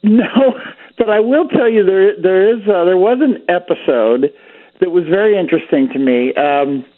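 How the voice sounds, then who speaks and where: A man's voice: sits high, moderate pace. A man from Pennsylvania, a doctor calling into a radio show, easygoing and chatty as he tells stories. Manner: easygoing storyteller, relaxed and chatty. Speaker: physician guest. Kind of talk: casual radio chat. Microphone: phone call. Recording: tinny phone line.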